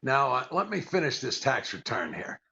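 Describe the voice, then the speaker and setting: A man playing a wry, long-suffering straight-man husband in 1940s radio comedy style, gravelly, dry and hesitant. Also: mid-range voice, normal speed, very noisy. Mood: wry, puzzled. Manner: dry, hesitant, deadpan delivery. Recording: dull lo-fi audio.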